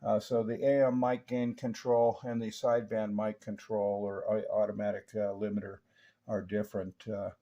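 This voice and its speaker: Older American male, folksy CB operator, relaxed mellow tone, chatty jargon-heavy delivery, muffled lo-fi sound. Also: lowish voice, normal speed. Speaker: CB radio operator and radio restorer. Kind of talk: casual radio check-in chat using CB jargon. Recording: dull, lo-fi audio.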